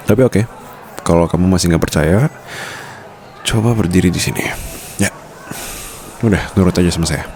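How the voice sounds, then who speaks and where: A man's voice: deep voice, moderate pace, pauses often, darkish tone, slight background noise. A man playing a suave, protective mafia boss boyfriend in an intimate, teasing ASMR roleplay. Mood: teasing, calm.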